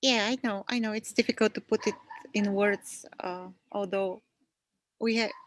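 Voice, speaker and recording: A woman's voice: medium pitch, fairly even intonation, moderate pace, no room sound, low-quality recording. Hesitant woman interviewer, dry close sound. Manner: hesitant, many fillers, soft laughs. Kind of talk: interview questions.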